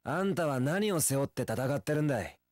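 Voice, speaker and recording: An actor playing a young wandering warrior in an action game, easygoing, romantic and sincere, with casual, rough speech. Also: male voice, a little high, lively intonation, a little fast, a little mellow, no room sound, studio quality, natural voice. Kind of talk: Japanese action game voice acting.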